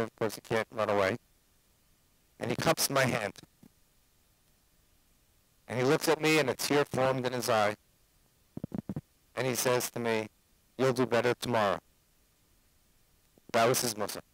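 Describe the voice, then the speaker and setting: A rabbi tells a personal story from his yeshiva days to introduce the evening's speaker. His voice sits at an ordinary middle pitch, dry and close, and he mixes Yeshiva Hebrew phrases into his English. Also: a bit bright, no room sound, low-quality recording.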